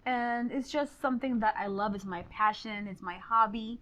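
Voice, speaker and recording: A woman's voice: on the high side, moderate pace, slight background noise. A woman in her forties, from Honduras and raised in Miami, a real estate agent chatting as an interview guest and laughing often. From Honduras, grew up in Miami. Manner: warm, laughs often, some fillers. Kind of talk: casual interview chat. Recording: slightly dull lo-fi sound.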